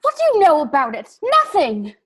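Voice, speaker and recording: An actor playing a young, burdened hobbit who speaks softly and strains, growing possessive. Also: male voice, extremely high pitch, big pitch swings, moderate pace, heavily acted. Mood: strained. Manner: soft, strained, turning possessive. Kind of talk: film acting.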